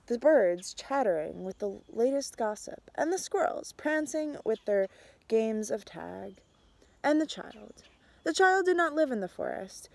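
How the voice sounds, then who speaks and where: A young adult woman reading a picture book aloud outdoors, with a measured, expressive storytelling delivery. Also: a little high, no room sound. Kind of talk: storybook read-aloud, narrated as a tree. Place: outdoors in snowy winter woods.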